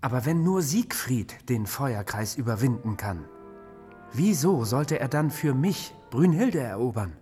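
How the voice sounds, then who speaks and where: An actor playing an insecure, easily led king in a fantasy radio drama, husky, affable and self-doubting. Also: mid-range voice, sing-song, measured pace, dark tone, faint music behind. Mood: doubtful curiosity.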